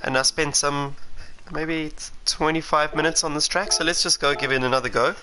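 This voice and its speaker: A man's voice: fairly high pitch, steady tone, normal speed, pushed and bright, rough recording. Chatty South African man over engine noise. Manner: chatty, thinking aloud while driving. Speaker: racing driver streaming sim racing. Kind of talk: live gameplay commentary. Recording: game engine noise underneath. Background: racing game car sounds.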